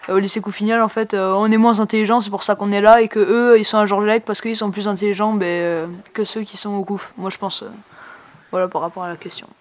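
A male student gives his answer in a school radio discussion. He hesitates often and fills gaps with fillers. His voice is quite high. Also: little pitch movement, quick pace. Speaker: student guest.

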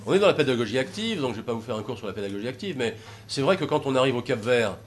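A man's voice: mid-range voice, sing-song, very fast. A man talking informally about taking troubled youths sailing, rambling with many hesitations and fillers. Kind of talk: informal talk with audience questions.

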